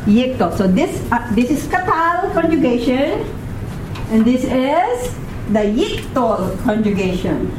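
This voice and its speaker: Slow, patient Filipino woman teacher. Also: high-pitched, sing-song. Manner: patient, repeats words, checks in with "okay?". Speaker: Hebrew teacher. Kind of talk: classroom lecture. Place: classroom. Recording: noisy room recording.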